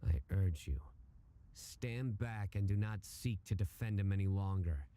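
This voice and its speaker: A man's voice: low-pitched, a little slow, mellow. An actor playing a stern, cold male judge who hunts wrongdoers, in a video game, speaking in a threatening, clipped manner. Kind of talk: video game cutscene voice acting.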